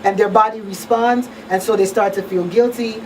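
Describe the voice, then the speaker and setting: A woman's voice: medium pitch, steady tone, moderate pace, recorded from a distance, low-quality recording. A woman hosting a live radio talk show, chatting with listeners in a small studio. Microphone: studio microphone on boom arm. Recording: off-mic room sound, faint noise.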